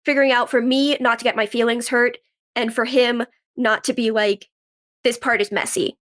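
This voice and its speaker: A woman's voice: fairly high pitch, flat delivery, normal speed, fairly bright tone. A woman speaking informally and reflectively as an interview guest, explaining things through anecdotes. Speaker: podcast guest, writer and actress. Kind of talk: casual interview conversation.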